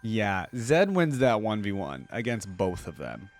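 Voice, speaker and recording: Low-voiced enthusiastic man reacting to videos. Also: sing-song, measured pace, soft background music. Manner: informal, slangy, enthusiastic fan talk. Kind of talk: casual reaction commentary on game cinematics.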